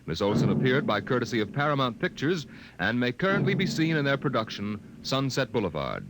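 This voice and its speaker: A man's voice: mid-range voice, very animated, brisk, heavy background noise. An American man announcing in a formal, dramatic 1950s radio style. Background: loud dramatic orchestral music.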